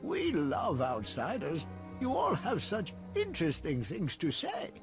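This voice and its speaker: Friendly alien villager, game cutscene, courteous, curious, high-pitched, unhurried, music behind. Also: male voice, wildly swooping pitch, exaggerated voice. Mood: welcoming, curious. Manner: naive, wondering, courteous. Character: friendly, curious native alien trader, innocently blunt. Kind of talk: video game cutscene acting.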